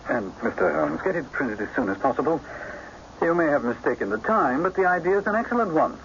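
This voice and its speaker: A man acting a brusque, condescending young country gentleman in a radio drama. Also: fairly high pitch, sing-song, brisk. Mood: smug, cooperative. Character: haughty young English country gentleman, dismissive, impatient. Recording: muffled radio drama recording, faint noise.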